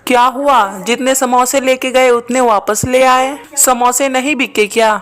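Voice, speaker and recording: A woman's voice: high-pitched, steady tone, unhurried, fairly bright tone, faint music behind. A woman playing a practical, concerned village wife with an earnest manner in a cartoon moral story.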